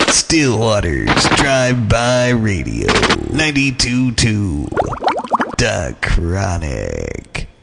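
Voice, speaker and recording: An actor playing a swaggering hip-hop radio station announcer, delivering punchy idents with a gravelly voice. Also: male voice, lowish voice, full character voice.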